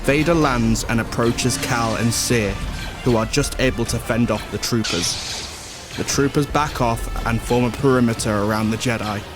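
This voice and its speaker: A man's voice: mid-range voice, steady tone, normal speed. A man narrating an action audio drama from a script in the present tense. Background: loud music.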